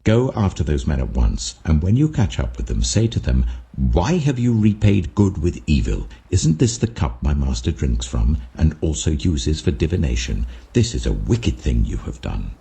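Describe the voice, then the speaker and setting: Man playing stern, very deep governor. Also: sing-song, vocal fry, mellow, heavily acted. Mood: commanding. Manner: authoritative, measured. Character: commanding, stern male governor of ancient Egypt. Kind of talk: dramatic scripture reading.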